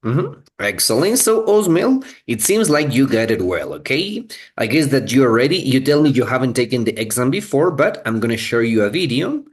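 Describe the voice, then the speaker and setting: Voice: mid-range voice, very animated, normal speed, hardly any pauses, husky. A teacher explaining things to students in an online exam-prep lesson, often checking that they follow. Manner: frequent checking questions like okay and right. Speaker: English teacher.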